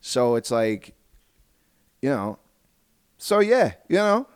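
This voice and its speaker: A man with a mid-pitched voice hosts a casual podcast conversation. His pitch swings widely, and he talks in an animated, rambling, sweary way. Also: takes it slowly, clear, resonant tone. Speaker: podcast host. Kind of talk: casual chat.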